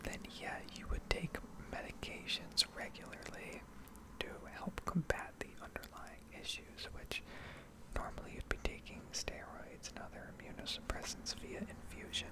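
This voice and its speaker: Female, whispered voice, ASMR, unscripted ramble, reflective, halting delivery. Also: low-quality recording. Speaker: ASMR video creator rambling over gameplay.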